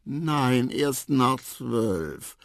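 A performer voicing a sleepy, shy young elephant in a children's story, murmuring and hesitant, gentle and good-natured. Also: medium pitch, very animated, measured pace, creaky, slightly rough, no echo, studio quality, voice pushed up, full character voice. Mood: sleepy, patient.

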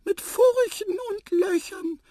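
A performer voicing a tiny, cheeky, curious talking mouse with a piping squeak, lively and chirpy, in a children's story. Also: takes it slowly, no room sound, clean studio sound. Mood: insistent, wondering.

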